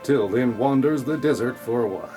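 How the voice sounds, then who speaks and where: A man's voice: mid-range voice, brisk, warm tone, faint music behind. A man reading scripted comic narration for an audio play in a wry, flippant way.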